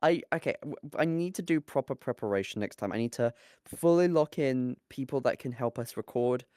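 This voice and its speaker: A man's voice: medium pitch, a little fast, darkish tone, clear, resonant tone, no room sound, clean studio sound. A man co-hosting a casual podcast, chatting off the cuff with jokes and swearing. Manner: joking, sweary. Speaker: podcast co-host. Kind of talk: casual unscripted podcast banter.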